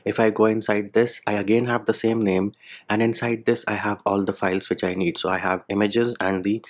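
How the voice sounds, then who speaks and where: A man narrating a screen-recorded software tutorial, explaining each step plainly with casual asides. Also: on the low side, little pitch movement, a little fast. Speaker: tech tutorial host. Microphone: voice-over over screen capture.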